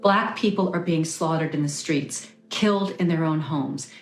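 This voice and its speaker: A woman's voice: deep voice, normal speed, soft background music. A woman delivering a solemn scripted appeal. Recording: roomy, echoing sound.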